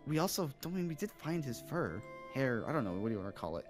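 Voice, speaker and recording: Animated young male magic scholar, acted by a man. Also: mid-range voice, quick pace, soft background music, close to an everyday voice. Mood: puzzled, explaining. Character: young male magic student, Elvish-reading scholar, exasperated. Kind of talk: improvised Minecraft roleplay.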